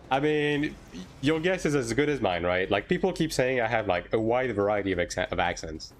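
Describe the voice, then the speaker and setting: A man's voice: medium pitch, very animated, moderate pace. A man from France, a native French speaker, chatting casually and informally on a game livestream as he answers viewers. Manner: informal, hedging, tags sentences with "right?". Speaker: game streamer.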